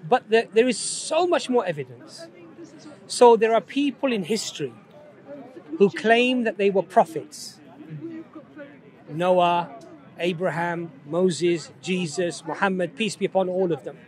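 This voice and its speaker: High-voiced man lecturing on the street. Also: a little slow, darkish tone, some chatter behind. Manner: assertive, lecturing, rhetorical questions. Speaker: street preacher. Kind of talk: street debate. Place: city street outdoors.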